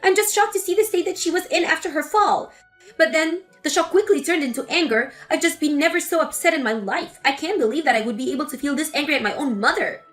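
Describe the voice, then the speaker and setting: A woman's voice: high-pitched, fast, fairly bright tone, faint music behind. A woman voicing an angry adult daughter confronting her mother in a scripted text-story drama. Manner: accusing, exasperated.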